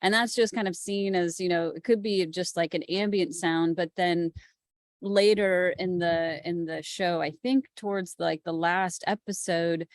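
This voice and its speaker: Low-voiced woman explaining on a panel. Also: dry recording. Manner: informal, tentative phrasing with frequent "like". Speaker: podcast producer and panelist. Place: remote call.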